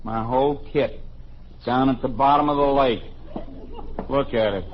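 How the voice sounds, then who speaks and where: An American man playing a blustery, grumbling, excitable husband in a 1950s radio sitcom, on a muffled, very noisy old recording. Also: mid-range voice, measured pace, lightly acted. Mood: glum, grumbling.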